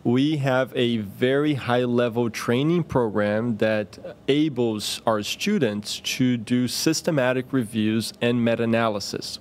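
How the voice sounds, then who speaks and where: A man in his thirties hosting a podcast, explaining things in a clear, orderly and emphatic way into a close studio microphone. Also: medium pitch. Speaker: podcast host, cardiologist. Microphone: close desk microphone on boom arm.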